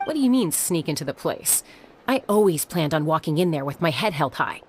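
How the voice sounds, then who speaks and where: An actress playing a headstrong, unflappable older sister and adventurer in a video game, cool, confident and dismissive. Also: female voice, low voice, very animated, brisk, a little hiss or hum. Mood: defensive.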